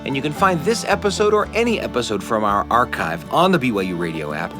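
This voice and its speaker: Male American radio host, scripted outro, medium pitch, very animated, music bed behind. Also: quick pace. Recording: music under the voice.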